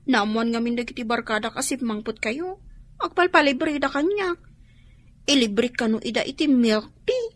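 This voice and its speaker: Woman doing a pushy young niece begging sweetly, ilocano accent, really high and lively, radio comedy. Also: moderate pace, natural voice. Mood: coaxing. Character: young niece wheedling money from her aunt.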